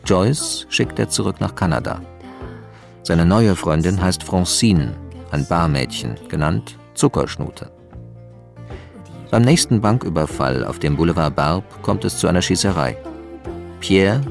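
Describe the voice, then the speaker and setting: Low, gravelly male documentary narrator. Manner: factual, measured. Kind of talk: scripted documentary narration in a radio drama.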